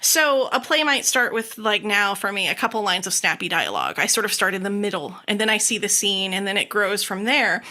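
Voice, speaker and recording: A woman's voice: mid-range voice, animated, brisk, hardly any pauses, pushed and bright. A middle-aged woman hosting a casual interview, chatty and agreeable, sharing her own experience as she goes. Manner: chatty, agreeable, laughs easily. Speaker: podcast host.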